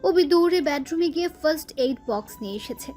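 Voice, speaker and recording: A woman's voice: high voice, expressive, brisk, dark tone, faint music behind. A woman reading scripted narration as the storyteller of a romantic audio story.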